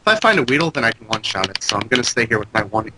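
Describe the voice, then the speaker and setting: A man's voice: lowish voice, very animated, racing, background noise. A congested man giving joking, profane commentary while playing a video game with a friend. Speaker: let's play co-host. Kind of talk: casual gaming commentary. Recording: loud keyboard typing behind. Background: computer keyboard clicks.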